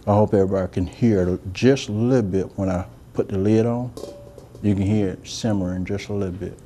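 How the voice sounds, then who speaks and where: A soft-spoken middle-aged chef talks through a recipe in a homey, rambling way. His voice sits low and mellow. The demo kitchen recording carries a faint hiss underneath. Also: fairly even intonation, brisk. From Georgia, US. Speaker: chef and cooking show host. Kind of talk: unscripted cooking demonstration. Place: indoor demo kitchen set.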